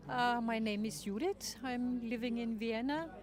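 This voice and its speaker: A woman's voice: a little high, unhurried. A woman in her sixties answering a street interview outdoors, thoughtful and hesitant, with many fillers. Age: 60s. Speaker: festival participant, parent activist. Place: outdoor festival square. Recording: crowd noise outdoors.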